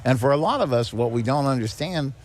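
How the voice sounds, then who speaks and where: A middle-aged man speaks at an average pitch as an interview guest. He explains things in a plain, instructive and conversational manner. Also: expressive, brisk, darkish tone. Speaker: guest, senior charity executive director. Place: on location at a garage.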